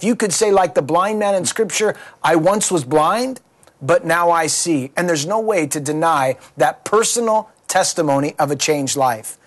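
Earnest preacherly male host. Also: on the high side. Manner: earnest, preacherly, leading questions. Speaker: pastor, show host. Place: studio.

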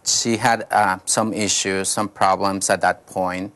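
Halting man, low voice. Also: flat delivery, a bit bright. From Colombia. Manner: halting, searching for words with many fillers. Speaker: pastor, guest. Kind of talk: personal testimony interview. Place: studio.

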